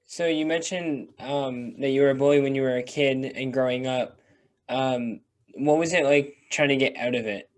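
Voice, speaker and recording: A man's voice: medium pitch, fairly even intonation, normal speed. A young man hosting an interview series, talking in a laid-back, casual way. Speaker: interviewer and channel host. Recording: clear background noise.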